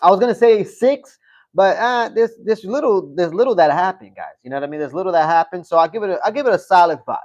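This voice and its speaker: A man's voice: high-pitched, lively intonation, fast, darkish tone. Rambling man on a lo-fi stream. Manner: rambling, repeats himself, fillers and profanity. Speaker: livestream host. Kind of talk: casual live-stream commentary.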